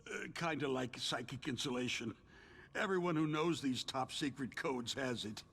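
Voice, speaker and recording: An actor playing a battered, rattled older arms-industry boss held prisoner in a video game, stammering in a strained, gravelly voice. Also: male voice, mid-range voice, fairly bright tone. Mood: defensive. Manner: halting, stammering, agitated. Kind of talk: video game cutscene acting. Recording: game audio with music underneath. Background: cutscene music.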